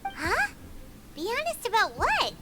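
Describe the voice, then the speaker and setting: A woman voicing a tiny, childlike fairy companion in a video game, cheeky, excitable and squeaky. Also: unhurried, loud music behind the voice, exaggerated voice. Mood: puzzled. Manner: squeaky, exclamatory.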